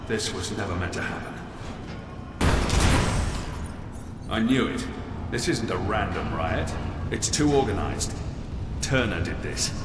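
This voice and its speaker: An actor playing a grim, dutiful military commander in a video game, speaking in an earnest, formal, authoritative way. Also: male voice, on the low side, close to an everyday voice. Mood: grave, urgent. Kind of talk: video game cutscene acting.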